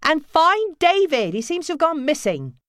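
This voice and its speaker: A woman's voice: high-pitched, sing-song, moderate pace, fairly bright tone, no echo, clean studio sound, voice pushed up. A woman voicing an exasperated, bossy middle-aged mother in an audiobook novel, nagging and scolding. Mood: impatient, bossy.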